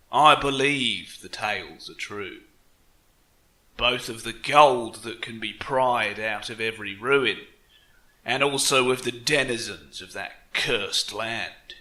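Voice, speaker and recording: A man's voice: medium pitch, slow pace, sharp tone, rough recording. A man voicing an embittered, shaken adventurer in a fantasy tale, speaking with grim, emphatic intensity. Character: shaken, embittered adventurer back from deadly jungles. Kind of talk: fantasy audiobook character acting.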